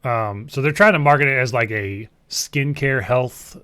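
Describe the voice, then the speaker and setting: A man's voice: medium pitch, a little slow, bright, forceful tone, clear, resonant tone, dry recording. Dry-sounding man bluntly explaining movies. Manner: blunt, informal, critical. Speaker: co-host of a gaming show. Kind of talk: casual explanatory trailer commentary.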